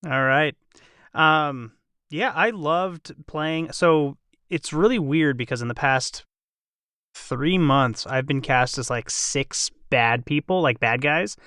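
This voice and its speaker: A man's voice: a little high, takes it slowly, bright, forceful tone, no room sound. Male voice actor, informal and jokey conversational read, close dry sound. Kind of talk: casual chat.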